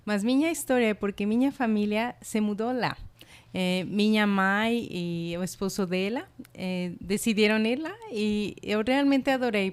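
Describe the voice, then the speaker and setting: Woman with a spanish accent, smooth voice. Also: medium pitch. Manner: warm, enthusiastic, many fillers like "sabe" and "eh". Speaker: college international representative. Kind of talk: casual interview.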